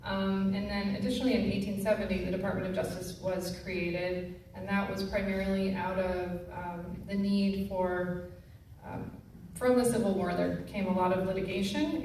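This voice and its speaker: A woman's voice: mid-range voice, a little mellow. A woman co-presenting at a public talk, explaining prepared material in a matter-of-fact way. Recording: distant, very echoey room, faint noise.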